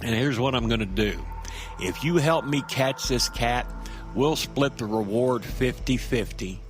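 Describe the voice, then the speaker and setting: An actor playing a nervy, rambling street-wise man who tells a horror story in a halting, stammering, conversational way, with music behind him. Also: mid-range voice, close to an everyday voice. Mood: rueful, earnest. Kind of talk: audio drama first-person horror monologue.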